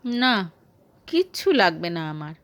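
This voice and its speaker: A man's voice: high-pitched, big pitch swings, takes it slowly, sharp tone, no echo, voice pushed down, exaggerated voice. A woman voicing a distraught young male boss in an audio story, hurt, stubborn and pleading like a child. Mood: sulky.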